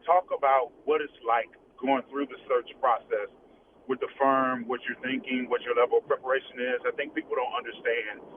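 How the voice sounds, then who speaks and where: A man speaking as an athletic director in a conversational radio interview, halting and circling back as he explains. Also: medium pitch, fairly even intonation. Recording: distant, noisy, phone-like radio line.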